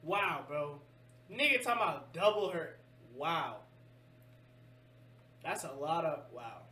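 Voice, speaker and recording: A man hosting a reaction video, blurting crude, excitable slang remarks over a clip. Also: on the high side, slow, echoey room, far from the mic, slight background noise.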